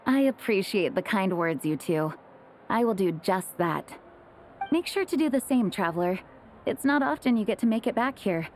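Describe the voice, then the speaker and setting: A woman voicing an earnest, courteous young leader in a video game, gentle and thoughtful in manner. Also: medium pitch, animated, normal speed, slight background noise, natural voice. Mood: grateful, reflective. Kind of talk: video game character acting.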